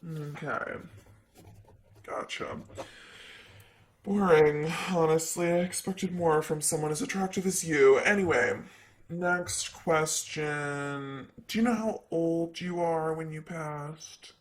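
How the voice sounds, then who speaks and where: Here is a man acting as a vain, flirty demon secretary in an ASMR roleplay. His voice sits a little high for a man, and he takes his time with every phrase. He is sassy and casually teasing, an ancient being doing desk work. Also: takes it slowly, a little hiss or hum. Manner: teasing, casual, drawling asides.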